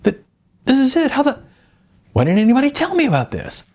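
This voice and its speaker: Eager young guy who stammers a bit, read by a male narrator, lively pitch. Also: a little fast, heavily acted. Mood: astonished. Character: hopeful young husband, eager, a bit unsure. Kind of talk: audiobook character voice.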